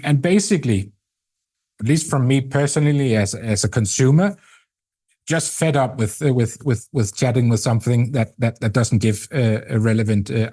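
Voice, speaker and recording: A middle-aged Danish man speaking English with a Danish accent, a company CEO answering interview questions in a halting way, repeating words and filling pauses. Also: mid-range voice, dark tone. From Denmark. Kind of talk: interview conversation.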